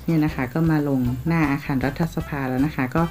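A woman from Thailand talks through a travel vlog in a polite, chatty way, each sentence ending softly. Her voice sits low, with faint music under it. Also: steady tone, fairly quick. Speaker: travel vlogger. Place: outdoors in a city, partly on a tram.

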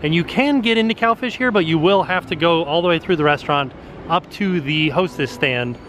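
A man's voice: fairly high pitch, brisk, fairly bright tone, slight background noise. Chatty man giving an outdoor walking tour. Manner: chatty, pointing things out as he walks. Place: outdoor shopping and dining area.

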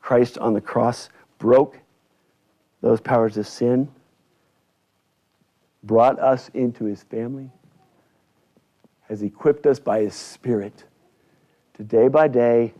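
A male pastor delivering a church sermon in deliberate, emphatic short phrases with repetition. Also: medium pitch, fairly even intonation, dark tone. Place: indoors in a church at a lectern. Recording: dull, slightly lo-fi church recording.

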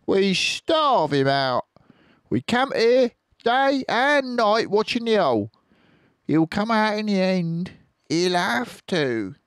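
A man reading a storybook aloud and voicing a cruel, cunning male farmer with loud, sneering menace. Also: sits high, sing-song, slow, smooth, dry recording, clean studio sound, voice pushed up, full character voice. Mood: menacing.

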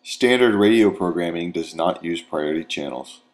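A man's voice: sits low, lively intonation, normal speed. A man reading scripted instructional narration in a plain, step-by-step, procedural manner.

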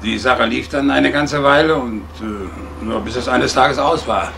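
An actor playing a guarded, long-serving scrapyard worker in a radio crime drama, answering in a dry, hesitant, matter-of-fact way. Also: male voice, mid-range voice, measured pace, fairly bright tone. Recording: clearly noisy, outdoor-like ambience.

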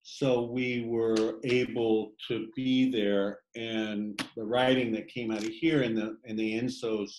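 A man who writes, speaking about his book on a video call in a halting, thoughtful way with many fillers. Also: on the low side, flat delivery, a little mellow, reverberant, a little hiss or hum, rough recording. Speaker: poet reading his work. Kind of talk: reflective talk in an online reading. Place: home room on a video call.

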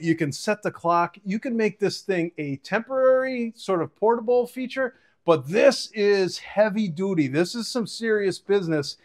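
A man in his forties giving an enthusiastic, casual, conversational product review and demonstration to camera. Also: on the high side. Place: home kitchen, indoors. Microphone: clip-on lapel mic.

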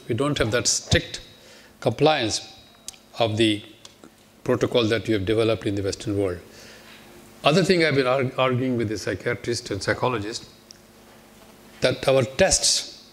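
An older man with a Pakistani accent, speaking as a panelist in a lecture hall, listing his points one by one with frequent restarts. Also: mid-range voice. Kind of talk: panel talk. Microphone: podium microphone.

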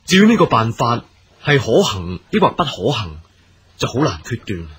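A male actor playing a grave, deliberate army commander in a period radio drama, speaking formal, measured Hong Kong Cantonese. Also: mid-range voice, big pitch swings, fairly bright tone, a little hiss or hum. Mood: deliberating. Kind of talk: theatrical radio drama acting.

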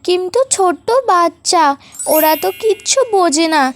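A woman's voice: very high voice, steady tone, normal speed. A woman voicing a small, meek and protective mother bird in a cartoon, polite and pleading. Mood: apologetic, worried. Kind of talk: cartoon voice acting.

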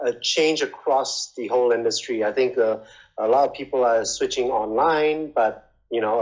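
Male interviewee, mid-range voice, conversational explainer with halting, repetitive phrasing. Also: mid-range voice, a little mellow, lo-fi sound. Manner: halting, repeats words while explaining. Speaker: interviewee, language school manager. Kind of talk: casual explanatory interview answers.

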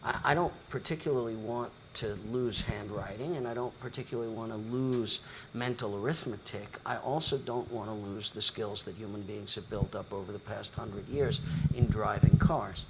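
A man's voice: fairly low pitch, a little hiss or hum. An older man moderating a panel discussion in a lecture hall, speaking in long, articulate, lecturing sentences.

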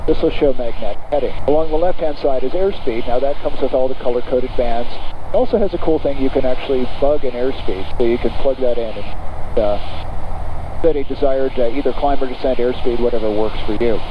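A man's voice: mid-range voice, brisk. Man reviewing avionics through a cockpit headset. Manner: technical, methodical, explanatory. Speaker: aviation magazine editor. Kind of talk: in-flight product review narration. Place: small aircraft cockpit in flight. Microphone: headset boom mic. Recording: muffled intercom audio with engine noise.

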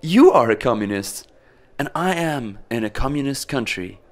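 A man's voice: medium pitch, animated, normal speed, voice pushed up, lightly acted. A man voicing an earnest, pleading male political refugee in a story, speaking emphatically. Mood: enthusiastic, emphatic.

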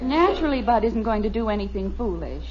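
An American actress playing an affectionate, gently chiding middle-aged wife and mother in a 1950s radio sitcom. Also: fairly high pitch, very animated, a little fast, a loud crowd, heavy background noise. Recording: muffled old radio broadcast before an audience.

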